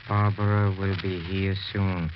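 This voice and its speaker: A grieving man haunted by his dead wife, in the melodramatic manner of 1940s radio. He speaks slowly and haltingly, as if obsessed. The sound is muffled with faint hiss. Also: low voice, flat delivery. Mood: dazed, insistent. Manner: halting, melodramatic, swinging from murmur to cry. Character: grieving widower, haunted, obsessed, desperately pleading. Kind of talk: 1940s radio mystery acting.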